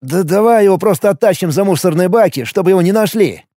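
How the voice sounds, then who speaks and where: Menacing male schemer performed by a man, desperate, insistent, jealous older brother, animated delivery, audiobook fiction. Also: high voice, quick pace, dry recording, clean studio sound, voice pushed up. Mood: panicky. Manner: pressuring, insistent.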